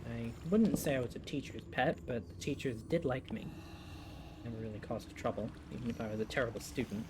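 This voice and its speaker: A twenty-two-year-old man with a mild Midwestern accent, talking softly and reflectively while he plays a game, rambling with a lot of "you know". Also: mid-range voice, a little fast, noisy background. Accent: Midwestern American. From United States. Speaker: gaming vlogger. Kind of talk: casual confessional chat while playing. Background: loud game music.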